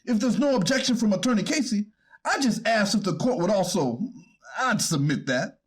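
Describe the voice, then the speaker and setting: Defense attorney played by a man, high-pitched and very animated, earnest, halting, deferential courtroom pleading. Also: slightly rough. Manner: deferential, formal, halting argument. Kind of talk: readers theater courtroom transcript reading.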